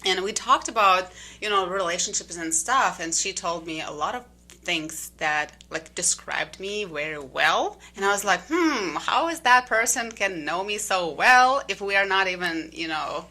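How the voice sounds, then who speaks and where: A woman in her thirties from Ukraine, a guest telling her story in a relaxed, chatty way with many fillers and slightly phrasing. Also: fairly low pitch, unhurried. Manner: relaxed, many "um"s and "like"s, slightly non-native grammar. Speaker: interview guest. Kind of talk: casual conversational storytelling.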